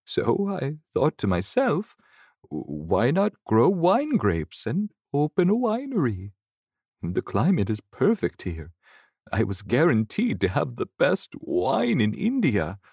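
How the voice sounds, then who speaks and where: A man voicing a frail, gentle old farmer's ghost, telling his tale slowly and deliberately in a storybook narration. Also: mid-range voice, wildly swooping pitch, gravelly, husky, dry recording, clean studio sound, pitched above the performer's own voice, heavily acted. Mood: wistful, recounting. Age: older.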